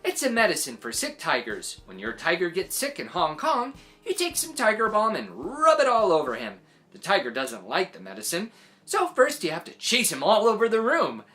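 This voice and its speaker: Eccentric aunt, acted by a woman, excitable tall-tale teller for a children's story, very animated, emphatic. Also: lowish voice, soft background music. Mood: excited, dramatic. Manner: dramatic, emphatic, drawn-out delivery.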